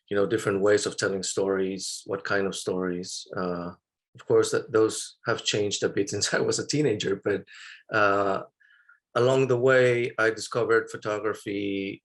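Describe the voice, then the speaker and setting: A man in his thirties from Mexico, a photographer answering interview questions in a thoughtful, hesitant way with many fillers and restarts. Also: on the low side. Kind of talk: interview conversation.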